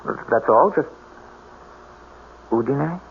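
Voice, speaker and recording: An actor playing a bitter, self-mocking reclusive game warden in a radio drama, wry and halting. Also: male voice, medium pitch, lively intonation, gravelly, narrow, tinny audio. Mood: concerned, puzzled. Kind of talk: 1970s radio drama acting. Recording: thin, slightly noisy old radio recording.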